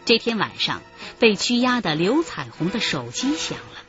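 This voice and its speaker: A woman's voice: medium pitch, big pitch swings, moderate pace. A woman delivering dramatic scripted narration for a radio crime drama. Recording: dull sound under music.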